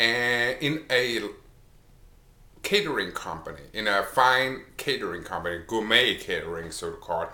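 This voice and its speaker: A man's voice: medium pitch, pushed and bright, echoey room. A middle-aged man with an Austrian accent, telling his own story casually to the camera, with frequent restarts and fillers. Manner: chatty, repeats words and restarts with many uh's. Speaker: online marketer.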